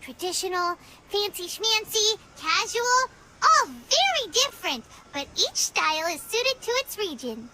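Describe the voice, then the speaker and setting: A woman's voice: extremely high pitch, big pitch swings, measured pace, sharp tone, a little hiss or hum, exaggerated voice, rough recording. A woman voicing a tiny, bubbly fairy companion girl in a video game, chirpy and talking about herself in the third person. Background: game music.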